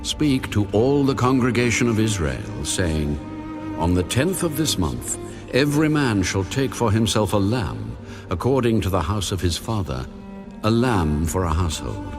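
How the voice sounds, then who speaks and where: An actor playing a majestic, authoritative God in a dramatised Bible reading, proclaiming solemn commands. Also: male voice, fairly low pitch, big pitch swings, a little slow, music in the background. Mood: authoritative instruction. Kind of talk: dramatised audio Bible acting.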